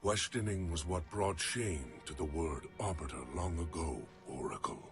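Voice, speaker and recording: A deep, grave alien warrior. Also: male voice, expressive, normal speed. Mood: troubled, solemn. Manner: grave, terse, formal. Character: proud, troubled male alien warrior, solemn and reverent. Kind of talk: video game cutscene dialogue. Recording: loud music under the voice. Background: loud cinematic music.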